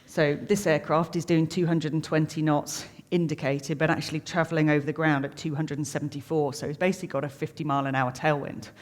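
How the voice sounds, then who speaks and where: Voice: mid-range voice, flat delivery, quick pace, few pauses. A middle-aged air traffic controller giving an informal explanatory talk, plain-spoken and chatty, making technical detail simple. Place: reverberant room, live talk to an audience. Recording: long echoing hall reverb.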